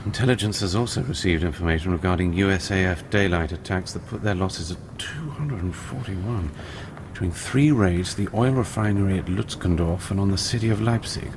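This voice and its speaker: An actor playing a shrewd, manipulative British army major in a radio drama, with an English accent and a measured, understated delivery. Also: male voice, low-pitched, noisy background. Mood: brisk, wry.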